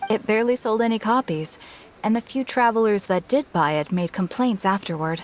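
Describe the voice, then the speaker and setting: An actress playing a frank, composed bookshop keeper in a video game, matter-of-fact, over music. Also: female voice, mid-range voice, a little fast, a little hiss or hum, natural voice.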